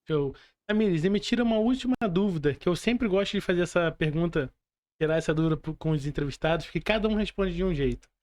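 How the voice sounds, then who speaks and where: A man's voice: on the high side, fast, a little mellow. A Brazilian man hosting a casual podcast interview, speaking informally with slang and effusive appeals to his audience. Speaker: podcast host.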